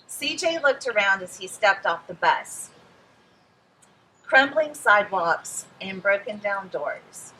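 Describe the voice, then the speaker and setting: A woman reads a picture book aloud for children in an expressive storytelling manner. Her voice is bright and she takes her time, speaking directly to young listeners. A little noise sits behind her. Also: mid-range voice, slow pace, poor audio. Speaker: children's read-aloud host.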